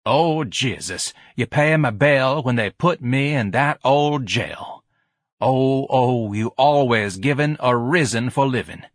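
Audiobook role: devout worker choir, sung out by one male narrator, slow, melodic, compulsive, fervent chanting. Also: medium pitch, expressive, no echo, studio quality, pitched above the performer's own voice. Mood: fervent, rapt.

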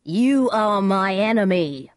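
A woman's voice: medium pitch, animated, dry recording, clean studio sound. A woman voicing a hot-headed young swordsman who challenges his enemy, in a storybook reading. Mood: menacing. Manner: slow, loud, challenging.

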